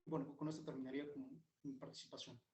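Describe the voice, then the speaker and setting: A man comments on a book at a presentation, speaking haltingly with many fillers. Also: mid-range voice, flat delivery, darkish tone, a little hiss or hum. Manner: hesitant, with fillers. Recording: echoey room.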